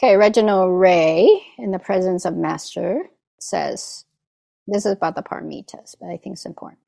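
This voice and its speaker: Woman with a gravelly voice giving a talk. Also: fairly low pitch, normal speed, mellow. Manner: reflective, often asks "right?". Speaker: meditation teacher. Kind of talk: dharma talk.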